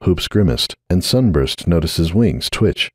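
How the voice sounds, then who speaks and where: A man's voice: deep voice, animated, measured pace, breathy edge, no room sound, clean studio sound. A man reading scripted dramatic story narration.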